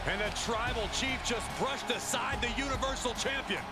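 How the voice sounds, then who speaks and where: Loud music backs a male wrestling pundit. He has a high voice and gives opinionated colour commentary, in the style of a televised sports show inside a game. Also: normal speed. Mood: surprised, sharp.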